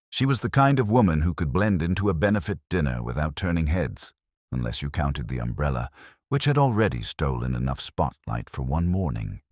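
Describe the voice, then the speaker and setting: A man's voice: a bass voice, no echo, clean studio sound. A man narrating a noir detective story in a wry, hard-boiled way. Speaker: audiobook narrator. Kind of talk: scripted first-person noir detective narration.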